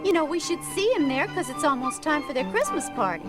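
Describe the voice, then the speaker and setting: Food-loving lanky teen, scratchy and high, very animated, 1970s cartoon style. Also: male voice, fairly quick, over loud music. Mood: cheerful. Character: easygoing, food-loving lanky teenage boy. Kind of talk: 1970s cartoon audio drama acting.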